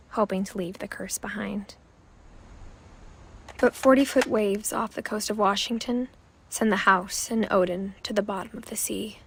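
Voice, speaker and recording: An actress narrating a video game as a wistful young woman recalling her family's past, soft and often close to a whisper. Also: mid-range voice, creaky, slight background noise, natural voice. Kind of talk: scripted narration. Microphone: studio voice-over.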